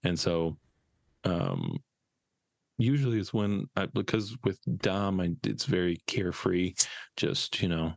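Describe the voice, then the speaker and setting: Deep, gravelly-voiced man. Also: big pitch swings, unhurried, dry recording. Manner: hesitant, rambling, many fillers. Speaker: podcast co-host. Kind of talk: casual podcast chat.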